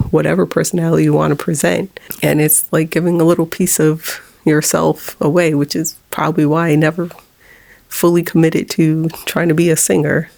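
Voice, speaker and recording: Low, gravelly woman holding back tears. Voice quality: low, monotone voice. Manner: halting, with nervous laughs. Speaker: vlogger. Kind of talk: personal confessional vlog.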